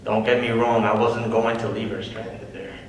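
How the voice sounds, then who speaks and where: A man's voice: medium pitch, flat delivery, moderate pace, natural voice. A young man playing a self-mocking stand-up comedian in a scripted stage routine. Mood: wry, joking. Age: young adult. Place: large echoey room, live event. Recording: echoey room, distant mic, faint noise.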